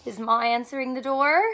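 A young woman teacher doing a read-aloud math lesson for children, animated and full of questions, speaking clearly for kids. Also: sits high. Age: young adult. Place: small furnished room at home, indoors.

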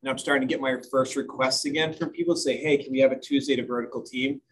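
A man's voice: medium pitch, steady tone, fast, slight background noise. A man, a school administrator, speaking plainly at a board meeting with loose, filler-heavy phrasing. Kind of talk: meeting remarks.

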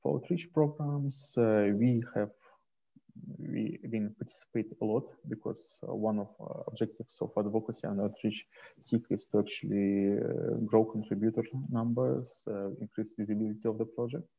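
Guy hosting an online meetup, really dark muffled voice, kinda gravelly, halting, talking thru slides. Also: fairly low pitch, steady tone. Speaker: host and presenter of an online community onboarding session. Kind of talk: informal slide presentation on a video call. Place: small indoor room, video call. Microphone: headset. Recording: dull, muffled headset call audio.

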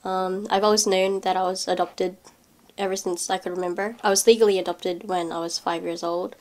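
A woman's voice: lowish voice, flat delivery, brisk, darkish tone. A woman in her twenties, speaking hesitantly in a documentary interview with many fillers. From Philippines. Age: 20s.

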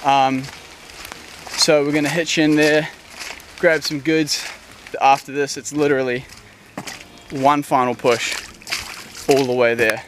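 A man's voice: mid-range voice, takes it slowly, long pauses, a little hiss or hum. A man in his twenties with a New Zealand accent, filming himself at arm's length on a forest trail and talking casually about his hike. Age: 20s. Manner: excited, breathless buzzing energy. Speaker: thru-hiker vlogger. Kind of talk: casual handheld trail vlog. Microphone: camera at arm's length. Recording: music playing underneath.

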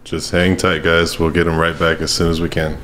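A man's voice: sits low, flat delivery, fairly quick, a bit bright. A male host keeps a live stream going, speaking casually from a distance to the mic. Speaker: event host. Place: studio room.

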